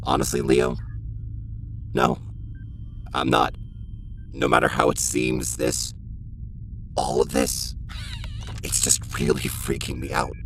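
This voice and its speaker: A man's voice: on the high side, expressive, takes it slowly, creaky, pauses often, noisy background, heavily acted. Man playing subdued, uneasy robot teen. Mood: shaken. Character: anxious, brainy teenage turtle trapped in robot body. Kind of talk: audio drama acting.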